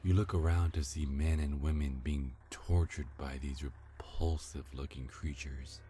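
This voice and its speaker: Very deep, slow, mellow male narrator. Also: steady tone, a little hiss or hum. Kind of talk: scripted ASMR story narration in second person.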